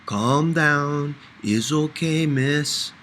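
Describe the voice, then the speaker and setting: A man voicing a courtly older foreign gentleman in an audiobook, soothing and flattering, in simple broken English. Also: on the high side, drawn-out words, slight background noise, voice pushed up.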